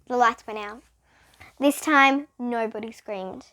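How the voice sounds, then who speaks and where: A female reader giving an expressive, dramatic read-aloud of a children's storybook. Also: fairly high pitch, slow, dry recording. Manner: animated, dramatic reading. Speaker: reader of children's bedtime books.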